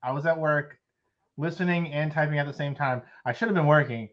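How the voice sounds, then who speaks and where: A man's voice: on the high side, a little fast. Eager man co-host, lo-fi. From South Texas. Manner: enthusiastic, stumbling restarts. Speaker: livestream co-host. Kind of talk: casual livestream chat. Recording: lo-fi stream audio.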